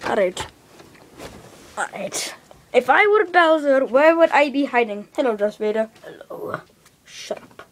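A woman's voice: high voice, lively intonation, measured pace, pauses often. A woman playing a bossy, sarcastic, easily exasperated video game hero in an improvised amateur skit. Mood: musing, sarcastic.

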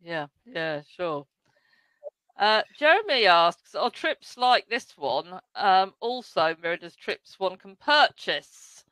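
A woman hosting a video call interview, reading out audience questions slowly between brief acknowledgements. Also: fairly low pitch, very animated, a bit bright, no room sound, low-quality recording. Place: home room.

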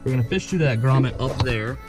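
A man's voice: mid-range voice, fast talker, over loud music. A man in his twenties giving a casual, hands-on tutorial to camera in an informal, folksy way, recorded in a home garage. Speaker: host of a car modification vlog. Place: home garage, partly inside a vehicle.

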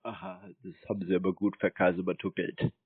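A low, husky-voiced man chats over a muffled phone connection. He plays naive and keeps a deadpan delivery. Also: fairly quick, no room sound. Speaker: prank call recipient stringing along a scammer. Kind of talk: phone conversation. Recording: muffled narrowband phone audio.

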